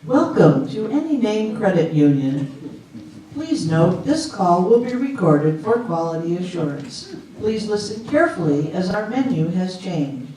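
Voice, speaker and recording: Man playing a slow, echoey automated phone menu. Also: high-pitched, sing-song, warm tone. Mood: formal, measured. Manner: formal, measured recitation. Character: automated phone menu system, polite, unyielding, repetitive machine. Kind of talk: readers theater comedy, scripted phone prompts. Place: live readers theater stage with audience. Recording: echoey hall, distant mic, audience noise.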